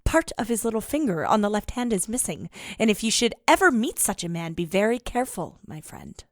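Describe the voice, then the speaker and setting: A woman's voice: mid-range voice, big pitch swings, a little fast, no room sound, studio quality. A woman playing a hunted secret agent in an audio drama, urgent, earnest and world-weary. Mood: grave, urgent. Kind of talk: audio play acting.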